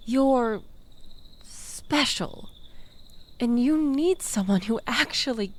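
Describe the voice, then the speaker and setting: A woman's voice: fairly high pitch, expressive, slow pace. A woman playing a manipulative, flirtatious toxic ex-lover in an intimate audio roleplay, coaxing and teasing with mock innocence. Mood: coaxing, flattering.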